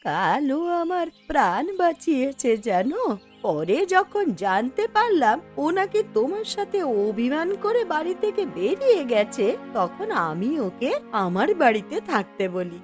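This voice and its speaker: A woman's voice: sits high, sing-song, takes it slowly, soft background music, heavily acted. A woman playing a kindly, frank elderly village mother in a cartoon folk tale, speaking in a familiar, admonishing way. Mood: frank, concerned.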